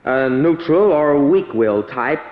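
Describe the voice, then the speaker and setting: A man's voice: fairly high pitch, animated, unhurried. An earnest young male research assistant speaks with dramatic deliberation. His delivery rises and falls animatedly, intense and respectful, through a muffled old radio recording with a long reverberant tail. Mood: thoughtful. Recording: echoey, dull vintage radio recording.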